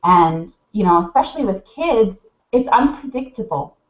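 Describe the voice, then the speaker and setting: A woman's voice: medium pitch, big pitch swings, normal speed. A woman on a video call gives heartfelt advice, rambling and full of fillers, a little sniffly from crying. The audio is muffled webcam sound in a roomy space. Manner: gushing, rambling, many "like" fillers. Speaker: mentor on a live video hangout. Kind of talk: heartfelt casual advice chat.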